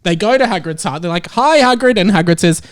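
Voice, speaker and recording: A man's voice: high-pitched, lively intonation, fast. A young man hosting a podcast in casual, comedic chat, joking and often prone to laughter. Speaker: podcast host.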